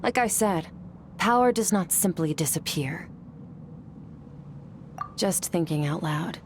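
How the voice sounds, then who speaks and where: A stern, aloof warrior woman from a video game, teaching in a fairly low voice. She is composed and matter-of-fact, with faint noise behind her. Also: lively intonation, slow. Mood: matter-of-fact, cool. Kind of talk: video game character dialogue.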